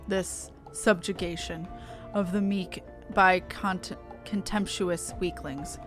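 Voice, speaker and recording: A woman's voice: lowish voice, vocal fry, pitched below the performer's own voice. A woman voicing a stern, proud beastman war leader in a game, speaking solemnly and formally. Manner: solemn, slow, formal delivery. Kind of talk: reading game dialogue in character.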